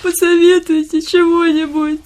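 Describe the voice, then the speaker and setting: A woman's voice: very high voice, little pitch movement, moderate pace, a little mellow. An actress playing a self-pitying peasant woman in a radio play, whining and lamenting to a monk. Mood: tearful wailing. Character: wailing, self-pitying peasant woman seeking a monk's counsel. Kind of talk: melodramatic radio drama acting.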